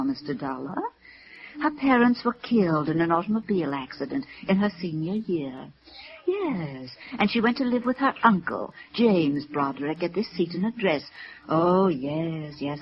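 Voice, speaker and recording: An actress playing a kindly, slightly flustered high school nun in 1950s radio drama, gentle, hesitant and wistful. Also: female voice, very animated, moderate pace. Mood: wistful, fond. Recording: muffled vintage radio recording.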